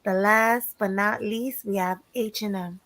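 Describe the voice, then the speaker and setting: A woman hosting a casual live stream panel, her voice a little hoarse from a sore throat. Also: mid-range voice, steady tone, a little slow, fairly bright tone, no echo.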